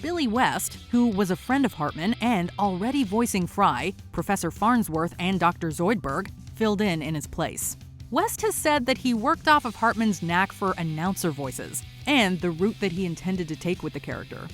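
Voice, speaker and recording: A woman's voice: mid-range voice, lively intonation, normal speed, faint music behind. A woman reading scripted, upbeat narration as the host of a countdown list.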